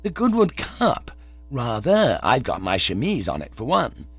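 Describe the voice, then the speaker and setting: A man voicing a cheerful young upper-class gentleman who chatters in breezy, chirpy slang. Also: mid-range voice, wildly swooping pitch, unhurried, breathy edge, dry recording, voice pushed up, full character voice. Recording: dull lo-fi dry recording.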